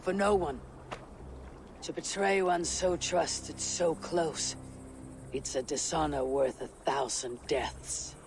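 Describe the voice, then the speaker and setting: A steady Viking leader, firm and measured, bright high voice. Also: male voice, background noise. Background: game score.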